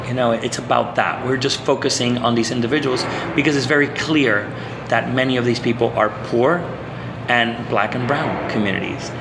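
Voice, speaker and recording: A man's voice: medium pitch, fairly even intonation, normal speed, vocal fry, noisy background. A man from Puerto Rico, a social psychologist, talking earnestly as a guest in an interview, with frequent restarts and fillers. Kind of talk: conversational interview.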